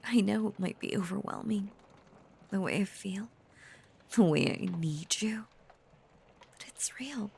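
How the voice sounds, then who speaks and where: A woman voicing an obsessive, possessive female werewolf lover in an intimate audio roleplay, breathy and often whispering, with a halting, intense, confiding delivery that is tender yet menacing. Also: medium pitch, darkish tone, exaggerated voice. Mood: desperate, possessive.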